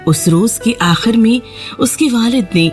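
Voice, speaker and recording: A woman's voice: a little high, darkish tone. A woman storyteller reading a scripted fairy tale narration for children. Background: background music.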